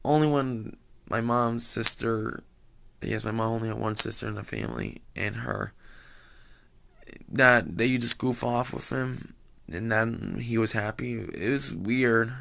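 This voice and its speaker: A young man with a slightly raspy voice telling a rambling story, full of fillers and restarts. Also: medium pitch, measured pace, fairly bright tone. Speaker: vlogger.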